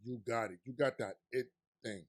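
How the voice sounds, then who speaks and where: A man with a New York accent hosting a casual interview, hyped up one moment and low and confiding the next. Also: normal speed, slightly rough. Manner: animated, hype, swings between loud excitement and low confiding tone. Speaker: podcast host and DJ.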